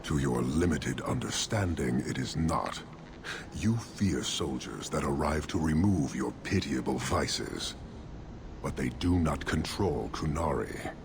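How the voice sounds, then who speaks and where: An actor playing a stern, disdainful warrior leader of an inhuman fantasy race in a video game, speaking in a measured, solemn way. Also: male voice, a bass voice, lively intonation, noisy background, exaggerated voice. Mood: stern, dismissive. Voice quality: gravelly. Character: stern imposing male Qunari warrior leader, disdainful and rigid. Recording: game audio with loud background ambience.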